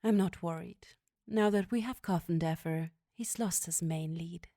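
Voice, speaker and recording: A woman's voice: fairly low pitch, normal speed, warm tone, dry recording, studio quality. A woman acting a cold, imperious crime boss in an audio drama, with a dismissive manner. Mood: cool, dismissive. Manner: imperious, dismissive, casually cruel.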